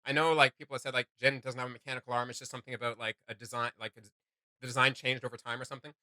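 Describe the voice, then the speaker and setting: A man hosting a reaction video, chatting casually in an animated, rambling, speculative way. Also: mid-range voice, rapid-fire, no room sound, studio quality. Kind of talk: casual chat, reacting to game voice lines.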